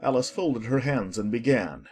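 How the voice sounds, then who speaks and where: A man's voice: mid-range voice, expressive, normal speed, a little mellow, husky, soft background music. A man narrating a dramatized children's storybook in a scripted audiobook style.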